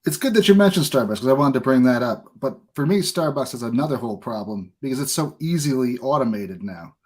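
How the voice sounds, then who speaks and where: A man's voice: medium pitch, animated, fast, husky. An American man speaks as a podcast host in casual, conversational interview talk.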